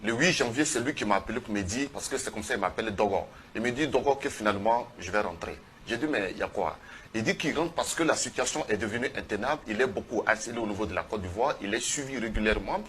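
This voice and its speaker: A man giving impassioned testimony as a guest in a television studio, speaking emphatically and haltingly, often repeating phrases. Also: on the low side, fairly bright tone, a little hiss or hum. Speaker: activist guest on a TV panel.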